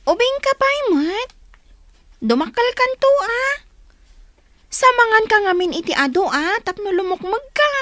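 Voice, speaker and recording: A woman's voice: extremely high pitch, expressive, measured pace, long pauses, pushed and bright, dry recording, exaggerated voice. A woman playing a teasing older sister, a village girl with an Ilocano accent, chiding in a girlish way in a radio drama.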